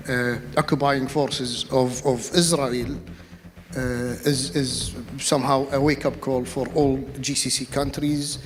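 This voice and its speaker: Halting, even-toned man in a reverberant hall. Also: medium pitch. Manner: halting, repetitive, diplomatic phrasing. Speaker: panel speaker, official. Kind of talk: panel discussion answer.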